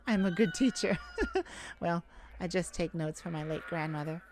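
Woman playing a shy, slow-talking elf farmer. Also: fairly low pitch, sing-song. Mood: relaxed, shy. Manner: halting, polite, nervous little laughs. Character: shy, gentle elf farmer, sweet and easily flustered. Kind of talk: ASMR roleplay. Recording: clear background noise, farm chicken ambience. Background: farm ambience with chickens and rooster.

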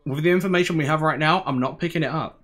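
A young man giving casual, slangy reaction commentary, musing aloud in a laid-back, tired, low-energy way. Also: fast talker, fairly bright tone, soft background music. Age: young adult. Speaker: anime reaction host. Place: small bedroom, indoors.

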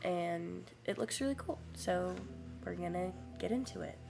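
A young woman with a raspy, hoarse voice, just getting over a sore throat, chatting excitedly through a let's-play of a game she has never played. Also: lowish voice, measured pace, over music. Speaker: gaming YouTuber. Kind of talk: casual let's-play commentary.

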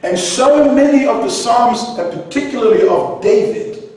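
A middle-aged man from New Zealand who grew up in Iceland, preaching a sermon with passion, at times rising to shouts. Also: very high voice, moderate pace. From New Zealand, raised in Iceland. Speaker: pastor. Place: large church hall with high ceiling. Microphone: handheld mic, heard from a distance in the room. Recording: echoey hall from far off.

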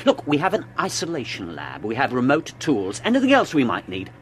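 An actor playing an arrogant, dismissive scientist in a radio drama, with a condescending, sardonic manner. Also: male voice, medium pitch, huge pitch swings, brisk.

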